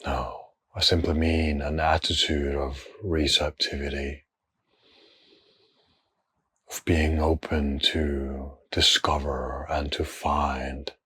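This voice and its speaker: A man leading a guided meditation in a hushed, breathy, husky voice, speaking in short unhurried phrases with long pauses between them. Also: a bass voice, steady tone.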